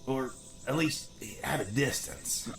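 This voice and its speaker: An actor playing a mocking, trouble-loving ghost in a Western audio drama, with an American accent and folksy, teasing delivery. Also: male voice, medium pitch, slow pace, creaky, echoey room, music in the background. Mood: wry. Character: gleeful, mocking ghost who relishes trouble.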